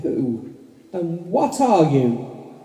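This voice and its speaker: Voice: mid-range voice, very animated, very slow, warm tone. A performer playing a troubled old male miser in an amateur radio play, pleading and emotional. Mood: anxious, awed. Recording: echoey hall with noticeable reverb.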